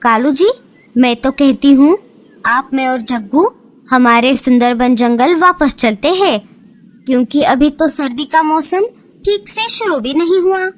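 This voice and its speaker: Woman, cartoon bird voices, high voice, slow sing-song delivery, faint music underneath. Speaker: voice of several cartoon birds. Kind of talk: cartoon dialogue acting.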